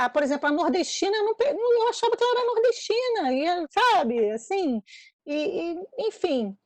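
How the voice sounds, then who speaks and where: A woman's voice: high-pitched, no room sound. A woman with a Brazilian accent moderating a debate in informal conversation, hesitant as she searches for her words.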